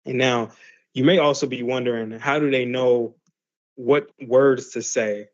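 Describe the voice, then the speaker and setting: A man's voice: mid-range voice, steady tone, a little slow, lo-fi sound. A man teaching a class in a loose, conversational way, full of fillers and restarts. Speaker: class instructor. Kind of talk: casual explanatory lesson.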